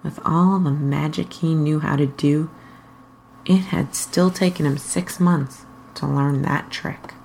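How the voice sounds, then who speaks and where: A woman with a husky voice reading a story aloud as a narrator. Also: low voice, a little hiss or hum, low-quality recording. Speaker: fan fiction reader.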